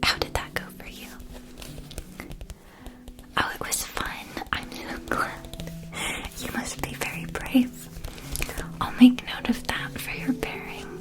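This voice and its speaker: A woman playing a gentle, reassuring witch shopkeeper in an ASMR roleplay, whispering in a soft, soothing way. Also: slow, pauses often, music in the background, close to an everyday voice, low-quality recording. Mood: friendly, encouraging, then fond, chatty.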